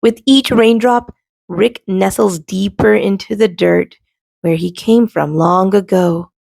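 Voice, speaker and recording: A woman reading a children's storybook aloud as host, expressively and with dramatic pauses. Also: mid-range voice, creaky, a little mellow, no echo. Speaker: storybook reader and show host.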